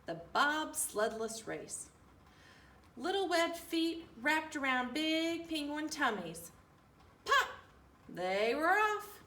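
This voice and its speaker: Roomy, high-pitched woman reading a storybook. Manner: animated, deliberate, singsong delivery. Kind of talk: expressive children's storybook read-aloud. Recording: roomy, distant mic, faint room noise.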